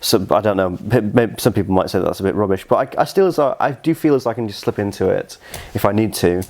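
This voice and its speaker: Deep-voiced young English-accented man. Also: fast, darkish tone. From southern Scotland. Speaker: interview guest, fragrance reviewer. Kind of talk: casual conversational interview.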